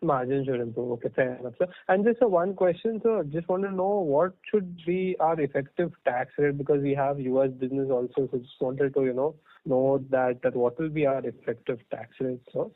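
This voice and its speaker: A man's voice: medium pitch, fairly quick. A man asking questions as a caller on an earnings call, in a deferential manner. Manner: deferential, often saying sir. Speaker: caller, investor or analyst. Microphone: telephone conference line. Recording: narrow tinny phone line.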